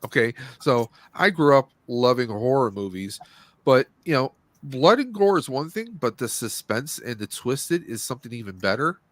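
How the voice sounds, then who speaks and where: A man's voice: medium pitch, big pitch swings, moderate pace, no room sound. A man hosting a casual movie review podcast, speaking in a blunt, opinionated and rambling way. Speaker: podcast host.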